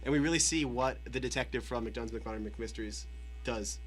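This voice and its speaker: Fast-talking young man bantering on radio. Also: medium pitch, faint music behind. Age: young adult. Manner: jokey, riffing. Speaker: student radio show co-host. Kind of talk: casual comic banter.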